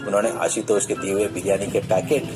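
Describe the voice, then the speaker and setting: A man's voice: low-pitched, fairly even intonation, fast. A man reading a scripted story as narrator. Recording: music bed under the voice. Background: music.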